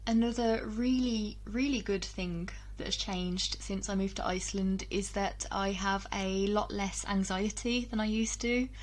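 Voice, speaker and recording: A young woman talks casually to her camera, pausing on ums and doubling back on her words. Her voice sits at a middling pitch, and her talk is relaxed and personal. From England, UK. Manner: chatty, frequent ums and restarts, laughs between thoughts. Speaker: vlogger.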